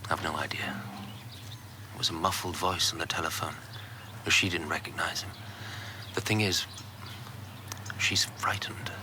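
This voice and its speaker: An actor playing a stubborn, confiding married politician, clipped and restrained, in a radio drama. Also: male voice, sits low, fairly even intonation, unhurried, long pauses, noisy background. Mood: anxious. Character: married male politician, confiding, stubborn, guilty yet self-assured. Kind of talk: naturalistic radio drama acting.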